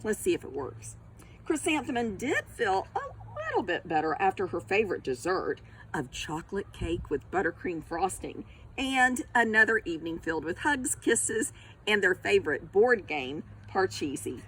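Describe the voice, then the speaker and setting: A woman reading a storybook aloud for young children, expressive and deliberate, addressing her listeners. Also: mid-range voice, slight background noise, poor audio.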